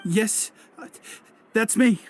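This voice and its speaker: An actor playing a meek, nervous suspect in a video game, hesitant and stammering. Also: male voice, sits high, slow pace, slight background noise. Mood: nervous, cowed. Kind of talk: video game character acting.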